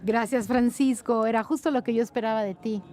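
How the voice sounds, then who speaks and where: Mellow-voiced woman interpreting a panel. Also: on the high side, steady tone, fairly quick. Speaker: simultaneous interpreter. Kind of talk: live spoken interpretation of a conference panel.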